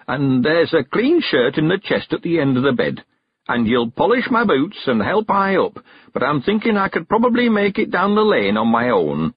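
A man's voice: slightly rough, dry recording, dull audio, full character voice. A man reading an audiobook, voicing a cheerful, stubborn ninety-one-year-old countryman with a rustic dialect. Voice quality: rustic dialect, quavery and high, drawn-out words.

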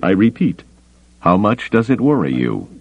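A man's voice: fairly low pitch, big pitch swings, normal speed, muffled recording, pitched above the performer's own voice. A man voicing a hard-nosed male smuggler captain in an audiobook, firm and sardonic. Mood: coolly challenging.

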